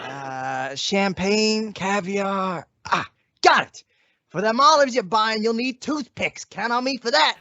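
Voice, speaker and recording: A man's voice: high-pitched, expressive, takes it slowly, bright, forceful tone, highs cut off, full character voice. A man playing a slangy, self-important freeloading boyfriend who bluffs and stalls, in an old-time radio comedy. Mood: scheming.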